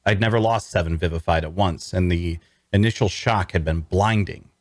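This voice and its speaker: A man's voice: deep voice, moderate pace, no echo, studio quality. A man playing a wry, queasy necromancer who narrates his own fantasy tale in an audio drama. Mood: wry, suffering. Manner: wry, storytelling.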